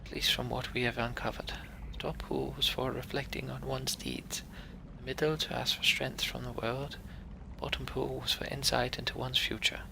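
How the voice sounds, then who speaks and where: Man reading as a calm woman scholar. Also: medium pitch, normal speed, gravelly, background noise. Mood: explaining.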